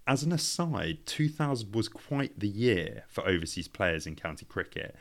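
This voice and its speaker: A man with a low-pitched voice co-hosts a sports podcast. He tells his material in a conversational way, working from notes while chatting with a colleague. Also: sing-song, moderate pace, a bit bright. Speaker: podcast co-host. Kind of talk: conversational cricket storytelling from notes.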